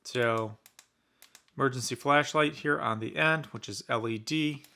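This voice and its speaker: A man with a bright, forward voice and a medium pitch talks through a product review. His delivery is casual and conversational, like an informal unboxing commentary. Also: measured pace, vocal fry. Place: indoors in a basement.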